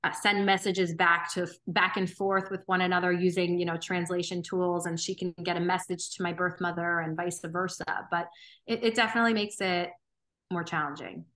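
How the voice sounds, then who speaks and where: Woman, 30s, interview guest; bright tone, earnest, unhurried, thinking aloud. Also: lowish voice, steady tone. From Romania. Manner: earnest, thoughtful, frequent ums and restarts. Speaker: podcast guest. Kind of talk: reflective interview conversation.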